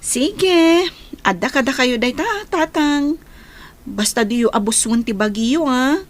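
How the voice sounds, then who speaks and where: A woman's voice: high-pitched, animated, unhurried. A young woman with an Ilocano accent playing a caring but firm daughter in an audio drama. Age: young adult.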